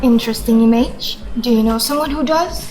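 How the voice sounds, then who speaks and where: A woman's voice: fairly high pitch, brisk, a little mellow, lightly acted, poor audio. A woman playing a wry, fair-minded wife who teases and questions her husband in a radio drama. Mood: wry, teasing. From Indonesia. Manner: wry, probing. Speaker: actor in a student radio drama.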